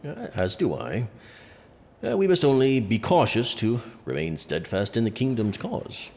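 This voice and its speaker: An actor playing a haughty, sardonic prince in a medieval audio drama, speaking in a dry, pompous, measured way. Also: male voice, medium pitch, huge pitch swings, a little mellow. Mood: scheming. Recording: reverberant hall with faint music behind.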